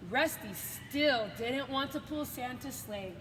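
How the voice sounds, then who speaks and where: A woman's voice: high voice, slow. A woman reading a children's story aloud and adding simple, encouraging asides like a teacher. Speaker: coach reading a picture book to students. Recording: echoey reverberant room with loud background noise.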